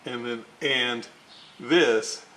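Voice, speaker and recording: A man giving a casual hands-on repair walkthrough, halting and muttering to himself as he works. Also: medium pitch, bright, forceful tone. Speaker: hobbyist radio restorer, presenter.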